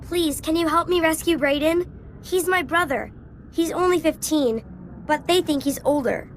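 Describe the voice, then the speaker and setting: A woman voicing a frightened, pleading child in a video game, timid and halting in her delivery. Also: sits high, slight background noise, natural voice. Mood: desperate, pleading. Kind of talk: video game character acting.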